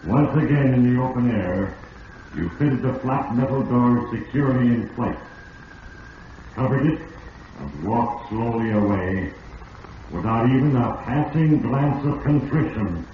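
An actor playing an all-knowing narrator of fate in an old radio drama, grave, deliberate and accusing. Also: male voice, mid-range voice, expressive, close to an everyday voice. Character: ominous all-knowing narrator addressing the wrongdoer. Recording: muffled, distant, echoing, noisy vintage radio recording.

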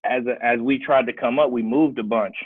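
A man's voice: mid-range voice, normal speed, husky, dry recording. Tinny-sounding man telling his story. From Kansas City, Missouri. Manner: relaxed, storytelling with many restarts. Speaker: guest. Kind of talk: casual podcast chat. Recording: dry, tinny phone-like sound.

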